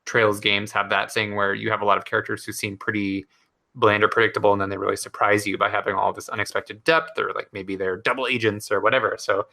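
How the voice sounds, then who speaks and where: A man's voice: fairly low pitch, level tone, quick pace, vocal fry, pushed and bright. A man co-hosting a gaming podcast, talking casually and enthusiastically with many fillers. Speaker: podcast co-host. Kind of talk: casual chat.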